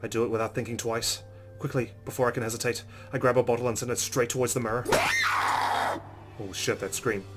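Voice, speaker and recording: A man's voice: mid-range voice, steady tone, brisk, loud music behind the voice. A man hosting a let's play, reading visual novel narration aloud and adding casual asides. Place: recorded voice-over with game music behind.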